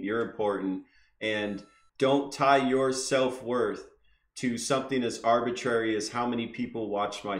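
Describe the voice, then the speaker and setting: A man's voice: on the low side, roomy sound. A man hosting a podcast, speaking earnestly and encouragingly in casual chat. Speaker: podcast host.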